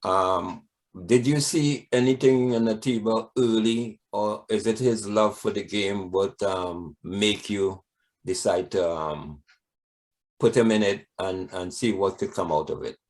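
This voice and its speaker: A man's voice: on the low side, measured pace. A man hosting an interview, asking friendly, rambling questions full of fillers. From Trinidad. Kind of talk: casual interview.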